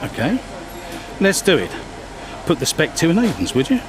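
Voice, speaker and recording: A man's voice: fairly high pitch, huge pitch swings, normal speed, a crowd in the background, low-quality recording. A man voicing a breezy team boss chatting with his driver. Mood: jovial. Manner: jovial, breezy. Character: jovial middle-aged male team boss.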